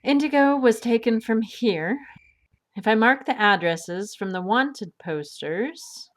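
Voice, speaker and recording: A woman's voice: a little high, lively intonation. A woman playing a sensible, bookish adventurer, precise and dryly skeptical, in an audio drama. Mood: thoughtful, focused. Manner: precise, dry, measured.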